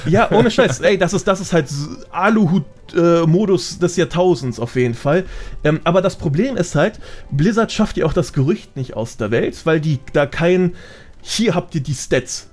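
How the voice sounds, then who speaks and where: A man's voice: fairly high pitch, lively intonation, moderate pace, a little mellow. A man with a colloquial, slangy way of explaining things, chatting casually as a guest on a gaming podcast. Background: background music.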